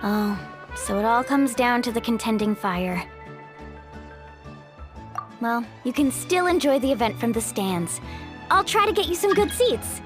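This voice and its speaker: A friendly young heroine speaks in a high, breezy, encouraging voice. She is an upbeat video game guide, with faint music behind her. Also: animated, a little slow, long pauses. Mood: breezy, helpful.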